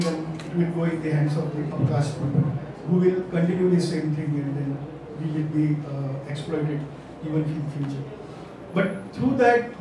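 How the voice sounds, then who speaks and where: Man, panel talk, distant mic, noisy echoey room. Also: a little high. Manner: thoughtful, halting with many fillers. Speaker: panel speaker. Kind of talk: panel discussion. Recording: echoey distant hall recording with noise.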